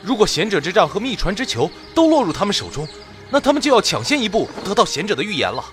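An earnest young priest in a fantasy game drama. He is gentle and courteous, with a very animated mid-range voice. Light music sits behind him. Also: high voice, quick pace, heavily acted. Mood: alarmed. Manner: polite, hesitant, earnest. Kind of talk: fantasy audio drama acting.

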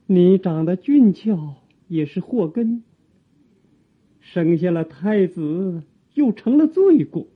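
A woman acting an aging, servile, guilt-ridden palace eunuch in an audio drama, with a thin, husky voice and halting, sighing, theatrical delivery. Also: on the low side, animated, narrow, tinny audio, full character voice. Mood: remorseful, weary.

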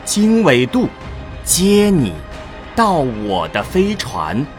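A man reading scripted narration for a science fiction audio drama in a deliberate, dramatic way. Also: a little high, very animated, faint music behind.

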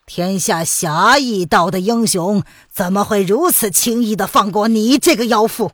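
A woman's voice: mid-range voice, unhurried, no echo, clean studio sound, natural voice. A woman voicing a stern, righteous and angry male martial-arts hero in a declamatory audiobook style. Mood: scornful, angry. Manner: forceful, declamatory. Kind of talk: dramatised audiobook acting.

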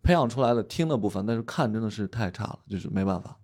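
A man's voice: on the low side, expressive, quick pace, darkish tone, husky. A man chatting casually on a podcast, telling a story in a rambling, hesitant way with many restarts. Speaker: podcast guest. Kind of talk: casual chat.

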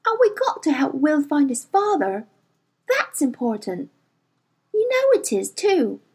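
A woman's voice: high-pitched, huge pitch swings, unhurried, a little mellow, pitched above the performer's own voice, full character voice. A woman reading an audiobook, voicing a spirited, stubborn girl of about twelve who talks hotly and defiantly. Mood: heated. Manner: hot-tempered, defiant, rough-spoken.

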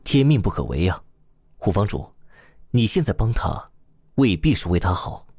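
A man playing a stern, probing roc demon king and mentor in a fantasy audio drama, husky and imperious. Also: lowish voice, sing-song, a little mellow, no echo, studio quality, full character voice. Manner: measured, challenging, imperious.

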